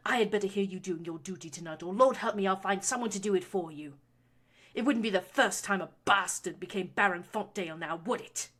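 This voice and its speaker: A woman voicing a domineering, scornful noble mother who scolds her son in a shrill, loud tirade. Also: a little fast, close to an everyday voice. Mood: scolding. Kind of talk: audiobook reading of fan fiction.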